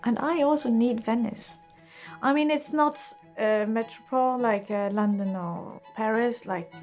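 Halting Austrian-born woman shoemaker. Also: medium pitch, darkish tone. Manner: halting, searching for words, many fillers. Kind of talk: interview answers.

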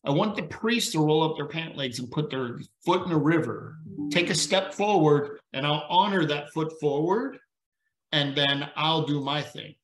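A man's voice: on the high side, moderate pace. A middle-aged man, a retired police officer, speaking as a podcast guest over a video call, telling stories in an earnest, preachy way. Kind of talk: casual conversation. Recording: roomy echo on a video call.

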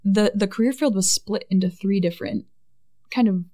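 A woman's voice: mid-range voice, normal speed, mellow. A woman in her thirties with a Midwestern American accent, chatting casually as an interview guest, often adding "right?" and "like". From Michigan. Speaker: guest, Air Force public affairs NCO.

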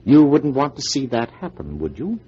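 A husky, scheming blackmailer from an old radio drama. His pitch moves theatrically up and down as he talks down to people with suave menace. The recording is dull and muffled. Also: wildly swooping pitch, moderate pace. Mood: slyly pressuring. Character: smooth, scheming, cruel male blackmailer.

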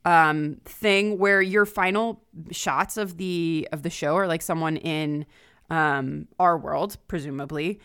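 A woman guest co-hosting a podcast, chatting casually in a halting way with lots of "like". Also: low voice, a bit bright, clear, resonant tone, no echo, clean studio sound. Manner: halting, peppered with "like". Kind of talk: casual chat.

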